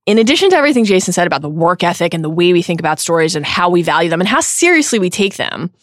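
Earnest, animated woman podcast co-host. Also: lowish voice, dry recording, studio quality. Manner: earnest and emphatic, reads listener tallies with drawn-out stress. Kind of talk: heartfelt podcast chat.

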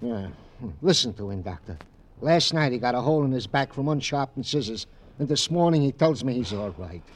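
An actor playing a fussing, loyal American police sergeant sidekick, folksy and stammering, as comic relief in an old radio drama. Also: male voice, medium pitch, animated, fairly quick, natural voice. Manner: stammering, folksy, mangles phrases.

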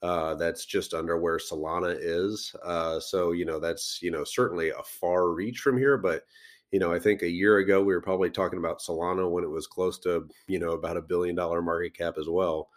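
A man's voice: low-pitched, steady tone, fairly quick. A man hosting a crypto podcast, rambling casually with many fillers while sick and losing his voice. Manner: rambling, lots of fillers and restarts.

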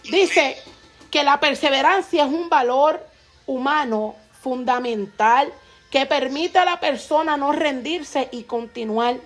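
A woman with a very high voice preaches with fervor. She keeps breaking off to praise, slips into tongues, and climbs higher whenever she presses a point. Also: slow pace, faint music behind. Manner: fervent, peppers speech with "vive Dios, aleluya" and tongues; rises in pitch when stressing points. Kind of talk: Pentecostal-style sermon.

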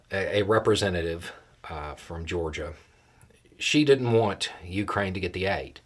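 A man giving casual, explanatory political commentary in a folksy, plain-spoken way, with measured phrasing and deliberate pauses. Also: low voice, fairly bright tone, slightly rough. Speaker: host of a political commentary show.

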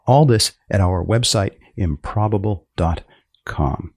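A man hosting an interview podcast, speaking deliberately with repeated phrases and pauses. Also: low voice, animated, a little mellow, husky, no echo.

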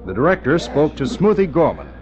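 A man's voice: a little high, sing-song, a little fast. A man narrating an old-time radio drama in a dramatic, scripted storytelling style. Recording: muffled old radio, loud crowd behind. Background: crowd and street bustle.

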